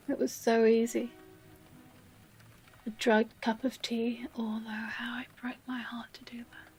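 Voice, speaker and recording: A woman playing an obsessive, possessive librarian captor in a dramatic audio roleplay, breathy and often whispering, with theatrical pauses and a tenderly menacing manner. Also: fairly high pitch, flat delivery, a bit bright. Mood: confessing, tender.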